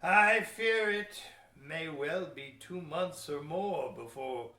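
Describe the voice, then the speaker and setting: An actor playing a cunning, gruff seventeenth-century English ship's captain in an audio play, declaiming emphatically in archaic speech. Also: male voice, on the high side, slow pace, pushed and bright. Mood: grave, insistent. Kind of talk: old-fashioned radio play acting. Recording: roomy, echoey audio drama recording.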